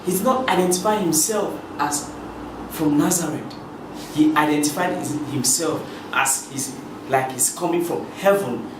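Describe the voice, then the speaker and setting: A man's voice: a little high, normal speed. A man from Cameroon giving a preachy motivational talk to his viewers, with many repeated words and restarts. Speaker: motivational speaker. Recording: echoey room from a distance with noise.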